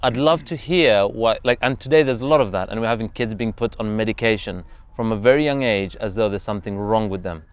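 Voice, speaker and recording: A man's voice: fairly low pitch, fairly quick, hardly any pauses, clean tone, no room sound. A man from Zambia hosting a casual interview, speaking in an agreeable, affirming way as he shares thoughts and leads into questions.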